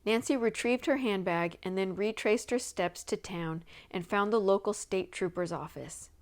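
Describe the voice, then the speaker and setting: A woman's voice: mid-range voice, normal speed, darkish tone. A woman narrating a children's mystery novel as a scripted read-aloud. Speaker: audiobook reader.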